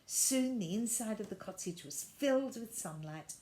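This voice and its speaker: A woman reading a children's story aloud, with deliberate, expressive narration. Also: mid-range voice.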